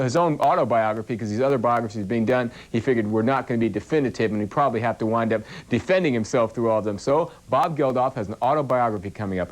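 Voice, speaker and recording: Chatty 1980s music-video VJ man. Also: medium pitch. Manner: chatty, upbeat, ad-libbing between videos. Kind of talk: 1980s live TV music host patter.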